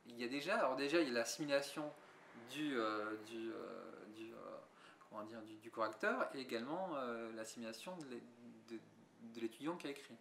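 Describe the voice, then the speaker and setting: A man's voice: mid-range voice, brisk, vocal fry, a bit bright, a little hiss or hum. A young adult man, a visual artist, answering interview questions thoughtfully with many restarts and fillers. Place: museum gallery. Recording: echoey room.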